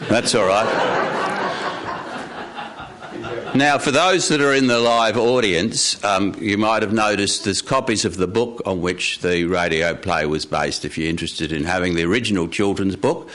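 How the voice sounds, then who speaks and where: A man's voice: on the low side, moderate pace, fairly bright tone. A man telling stories and hosting informally in front of a live studio audience. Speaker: storyteller and live show host. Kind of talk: live storytelling and informal announcements. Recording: live radio studio, background noise.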